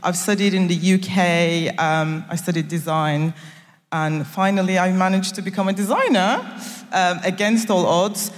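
A woman's voice: lowish voice, steady tone, normal speed. A young woman speaks on stage, her earnest, playful words and slightly phrasing carrying through the long reverb of a big concert hall. The sound is a live stage recording rather than a studio one. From Turkey and Nigeria. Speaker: guest designer.

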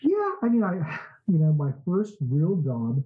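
Man, 40s, from Southern California; relaxed storyteller with a breathy edge, slightly dull recording. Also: a little high, a little slow. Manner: relaxed storytelling, many fillers. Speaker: podcast guest, entrepreneur. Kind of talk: casual interview chat.

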